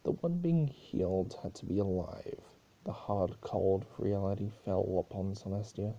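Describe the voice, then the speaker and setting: Guy doing a dramatic story reading, super dark husky voice, stumbles a bit. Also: low-pitched, a little slow, dull audio. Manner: stumbles over words. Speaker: amateur story reader. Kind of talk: dramatic scripted narration.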